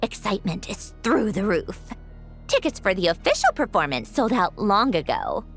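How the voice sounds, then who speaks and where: A woman's voice: medium pitch, big pitch swings, normal speed, faint music behind. A woman voicing a composed, articulate young woman with a sentimental streak in a video game. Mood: warm, admiring. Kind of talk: animated video game dialogue.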